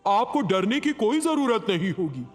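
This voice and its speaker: A man voicing a friendly chief of devils in a cartoon story, measured and reassuring. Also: high voice, big pitch swings, faint music behind. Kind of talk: Hindi cartoon story voice acting.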